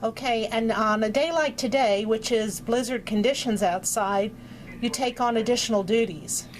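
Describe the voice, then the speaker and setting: A woman's voice: on the high side, moderate pace, slight background noise, rough recording. A woman conducting an informal interview, asking questions in an attentive, appreciative manner.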